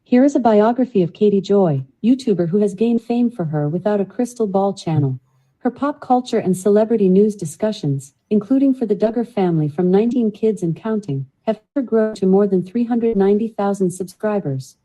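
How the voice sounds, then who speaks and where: A computer-generated woman's voice reads out factual answers, with a very dark, mellow tone and a middling pitch. Its delivery stays even and measured from start to finish, with no personal colour. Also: poor audio. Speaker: voice assistant answering questions. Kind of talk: synthetic read-out answers.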